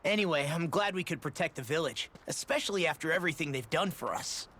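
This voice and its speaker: A woman's voice: deep voice, expressive, fast talker, a little hiss or hum. A woman voicing a spirited, eager young fighter in a video game. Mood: warm relief. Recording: loud game music and impacts.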